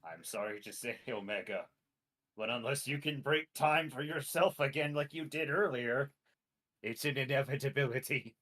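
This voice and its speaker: A man's voice: medium pitch, moderate pace, sharp tone. A man in his late twenties chatting casually, adding dry, wry jokes while helping a friend write a campaign. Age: 20s. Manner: dry, wry joking asides. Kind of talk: casual chat.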